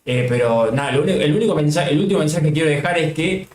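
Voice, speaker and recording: A man from Salta with an Argentine Spanish accent, a guest on a casual home stream, speaking softly and hesitantly with many fillers. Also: a little high, quick pace, reverberant. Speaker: guest co-host.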